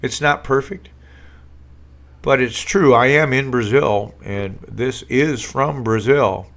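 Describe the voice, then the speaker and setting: A man's voice: mid-range voice, slow, gravelly, a bit bright. A man hosting a casual live stream from home, talking politics in a sarcastic, rambling way with many fillers and restarts. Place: home room with a green screen.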